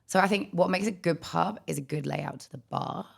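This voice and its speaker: A young Australian woman co-hosting a casual podcast, with a croaky voice and lively storytelling. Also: lowish voice, a little fast. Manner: chatty storytelling, animated quoting. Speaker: podcast co-host. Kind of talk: casual chat.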